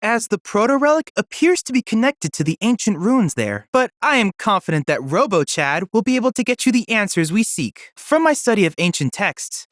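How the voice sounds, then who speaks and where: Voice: medium pitch, very animated, fairly quick, hardly any pauses, a bit bright, no echo, studio quality. An actor voicing an earnest, brainy boy-like android assistant in a video game, youthful-sounding and speaking in formal, precise, bookish phrases. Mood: helpful, eager. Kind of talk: video game voice acting, scripted dialogue.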